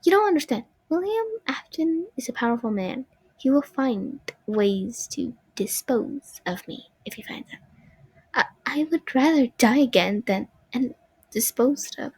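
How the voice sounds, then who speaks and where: A young woman voicing a shy, gentle animatronic puppet girl with a soft, childlike voice, timid and pleading when frightened. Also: high voice, animated, unhurried, slightly rough, no echo, full character voice, poor audio.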